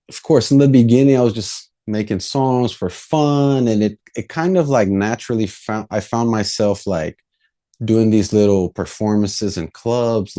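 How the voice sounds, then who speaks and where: A young man from Brazil with a very low, mellow voice. He talks as a guest in a relaxed, reflective way, in casual interview chat. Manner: relaxed, reflective, laughs easily. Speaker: musician guest.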